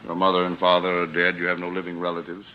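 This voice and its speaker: An actor playing a commanding, unflappable defense attorney, assured and formal, in 1940s radio drama style. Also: male voice, low voice, monotone, fast talker, lightly acted. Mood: earnest, courtly. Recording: muffled old radio broadcast.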